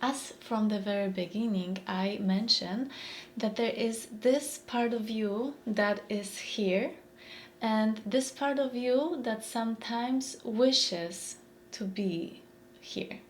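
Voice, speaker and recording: Slow-paced Polish-accented woman. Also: fairly high pitch, a little hiss or hum. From Poland. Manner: thoughtful, repeats phrases, searches for words. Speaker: mindfulness speaker. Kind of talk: reflective motivational talk.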